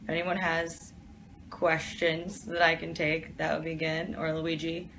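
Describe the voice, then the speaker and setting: Low-voiced woman chatting on a live stream. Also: completely flat delivery, unhurried, fairly bright tone, a little hiss or hum, rough recording. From Detroit, USA. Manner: reads questions aloud and answers in a chatty, offhand way. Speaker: TV panelist answering viewer questions. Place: office.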